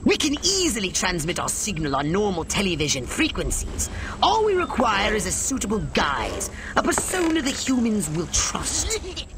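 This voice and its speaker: A man's voice: high-pitched, huge pitch swings, normal speed, noisy background. A man playing an imperious, scheming alien commander in a video game, pompous and scornful of humans.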